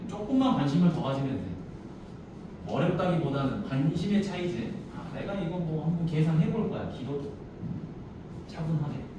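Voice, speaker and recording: A very reverberant recording, picked up far from the mic in a classroom. A male teacher with a slightly high voice explains math step by step. He keeps putting short questions to his students and checking they follow. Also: expressive, moderate pace. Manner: talks students through steps with frequent check questions. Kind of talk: classroom math lesson with casual chat to students. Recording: distant mic, echoey noisy classroom.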